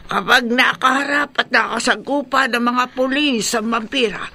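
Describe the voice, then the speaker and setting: An actress playing a dismissive, ominously certain mother in a radio drama, speaking deliberately. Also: a little high, steady tone, bright, forceful tone. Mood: knowing, ominous.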